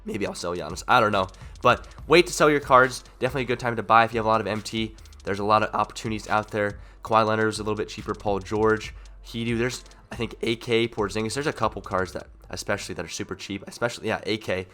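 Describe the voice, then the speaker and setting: A young man with a slightly low voice runs through gaming market news at a rapid clip, rambling and upbeat. He is sick and his voice sounds off, yet the tone stays bright and forceful. Faint music plays behind him. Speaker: gaming channel host. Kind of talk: casual gaming market commentary.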